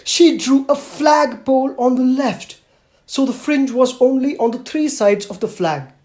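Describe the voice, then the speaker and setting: A man voicing an aggrieved, stern female schoolteacher in an audiobook, complaining with deliberate emphasis. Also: very high voice, warm tone, voice pushed up. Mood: explaining. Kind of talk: audiobook character voice.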